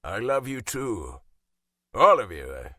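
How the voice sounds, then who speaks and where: A man voicing a gruff, affectionate ageing father in an audiobook. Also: lowish voice, sing-song, slow pace, bright, forceful tone, dry recording, studio quality, heavily acted. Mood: gruff, reassuring.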